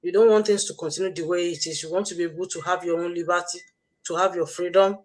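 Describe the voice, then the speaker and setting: A woman's voice: fairly low pitch, steady tone, quick pace, darkish tone. Woman, Nigerian accent, livestream host, motivational talk, exhorting and repetitive. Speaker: host, online business mentor.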